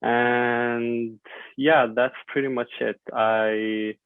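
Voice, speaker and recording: A man in his twenties from Syria whose first language is Arabic, speaking as a podcast guest in a thoughtful, halting way with many fillers and repeats. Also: mid-range voice, flat delivery, smooth, no room sound. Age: 20s. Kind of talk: podcast interview. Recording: dry tinny phone-like line.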